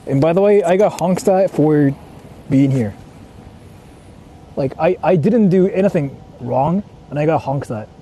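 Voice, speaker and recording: Male streamer from Japan, fairly high voice, informal, sweary, thinking aloud over clear street noise. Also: animated, unhurried, pauses often, dark tone. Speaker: livestreamer. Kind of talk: casual livestream chat, thinking aloud. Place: outdoors on a street, walking.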